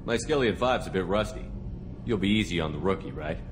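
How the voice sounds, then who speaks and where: Fast, low-voiced military commander. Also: male voice, expressive. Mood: easygoing. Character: composed, probing military commander hero. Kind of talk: video game character dialogue. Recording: clear ambient noise behind.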